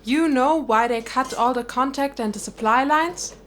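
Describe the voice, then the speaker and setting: A firm, higher-voiced settler woman. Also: normal speed, a bit bright, a little hiss or hum. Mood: firm, sceptical. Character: steadfast, sceptical woman settler on a moon station. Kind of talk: audio drama acting.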